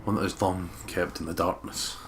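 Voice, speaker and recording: A man playing an anguished detective, narrating his inner thoughts gravely in a visual novel game. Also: sits low, level tone, normal speed, a little hiss or hum, lightly acted. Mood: somber, tense. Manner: grave, somber. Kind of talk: dramatic first-person game narration.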